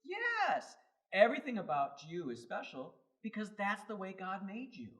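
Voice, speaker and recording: High-pitched, very animated male children's host. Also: normal speed. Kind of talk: scripted, upbeat chat for children. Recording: distant microphone.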